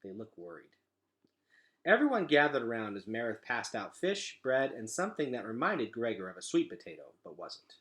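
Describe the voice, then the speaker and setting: A man's voice: mid-range voice, very animated, normal speed, fairly bright tone. A man reading a storybook aloud expressively, like a teacher.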